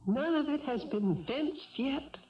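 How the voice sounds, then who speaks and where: An actress playing a fed-up, wounded wife who questions pointedly and firmly, in 1970s radio drama style. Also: female voice, high voice, lively intonation, slow. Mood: accusing. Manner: pointed, interrogating. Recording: muffled vintage radio broadcast.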